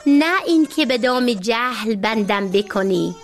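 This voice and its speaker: A woman's voice: on the high side, animated, soft background music. A woman reciting poetry.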